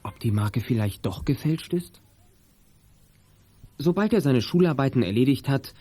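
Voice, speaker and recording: A man's voice: medium pitch, mellow. A man narrating a children's audio drama in a scripted storytelling style.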